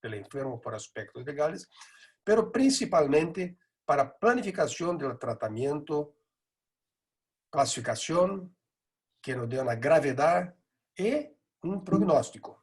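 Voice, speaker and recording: A middle-aged man, a native Portuguese speaker with a Buenos Aires tinge in his Spanish, gives a measured conference lecture with frequent hesitations. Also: fairly high pitch, expressive, slightly rough. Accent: Portuguese-accented Spanish with a Buenos Aires lilt. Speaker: neurosurgery lecturer.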